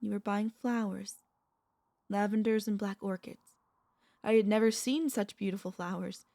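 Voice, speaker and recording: A woman playing a tender, anxious, possessive vampire mistress in an intimate ASMR audio roleplay, speaking in soft, formal, pleading phrases with dramatic pauses. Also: medium pitch, steady tone, gravelly, no room sound, clean studio sound, close to an everyday voice. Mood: wistful, confessional.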